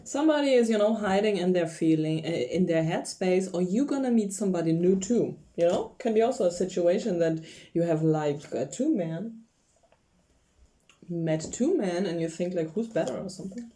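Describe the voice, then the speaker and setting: Woman, mellow voice, chatty tarot reading, laughs a lot. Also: lowish voice, animated, moderate pace. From Poland. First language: Polish. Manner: chatty, laughs often, gropes for English words. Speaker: tarot reader. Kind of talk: casual tarot reading to camera.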